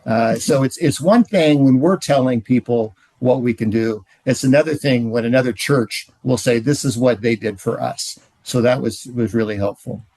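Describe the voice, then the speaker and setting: A middle-aged man giving a relaxed training talk, telling stories with frequent "uh"s. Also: mid-range voice, moderate pace, few pauses, dry recording, rough recording. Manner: relaxed storytelling, many "uh"s. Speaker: ministry trainer.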